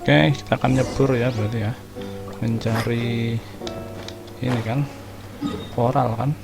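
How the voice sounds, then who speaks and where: A man's voice: medium pitch, steady tone, normal speed, creaky. A young Indonesian man giving casual, slangy gameplay commentary as a streamer. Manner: informal, slangy. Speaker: gaming streamer. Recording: game music behind the voice. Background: game music.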